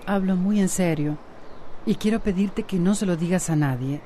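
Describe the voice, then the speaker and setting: A woman's voice: fairly low pitch, moderate pace, mellow, a little hiss or hum, lightly acted. An actress playing a wry, dreamy female friend with a Costa Rican accent in a radio soap opera. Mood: hesitant, earnest. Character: wry, dreamy, secretly pregnant woman friend and confidante.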